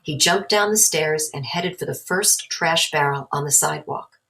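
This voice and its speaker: A woman's voice: low-pitched, moderate pace, bright, forceful tone, poor audio. A woman narrating a children's story aloud as a storybook reader.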